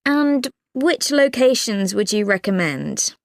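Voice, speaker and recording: A woman's voice: mid-range voice, big pitch swings, slow, vocal fry, no room sound, studio quality, full character voice. A woman acting a chatty, inquisitive, friendly mum asking for advice in a scripted exam dialogue. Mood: curious, interested.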